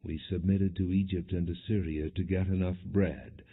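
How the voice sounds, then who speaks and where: Tinny phone-like audio, low husky guy slowly reading bible verses, solemn and measured. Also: fairly even intonation. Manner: measured, deliberate phrasing. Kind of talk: solemn scripted scripture reading. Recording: muffled, phone-like narrow-band audio.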